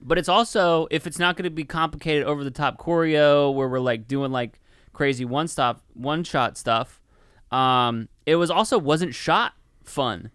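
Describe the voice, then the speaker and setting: Clear-voiced man, excitable casual chat. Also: fairly high pitch, unhurried, dry recording, studio quality. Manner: excitable, profane, rambling enthusiast. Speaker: podcast co-host reviewing a film.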